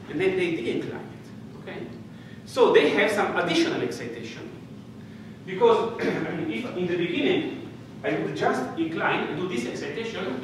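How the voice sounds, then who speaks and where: Middle-aged Italian man, animated lecturer, bright high voice, far off in a booming hall. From Italy. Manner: animated, gesturing, playful storytelling with frequent "okay?" checks. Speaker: physics lecturer. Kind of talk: lively university lecture. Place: dark lecture hall with projector screen. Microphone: distant room mic, speaker walking around. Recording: echoey lecture hall recorded from afar with room noise.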